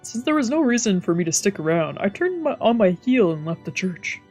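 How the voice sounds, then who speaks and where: A woman reads visual novel narration aloud as a streamer, dropping in casual asides. Her voice is mellow and husky, with faint music underneath. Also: medium pitch, big pitch swings, fairly quick.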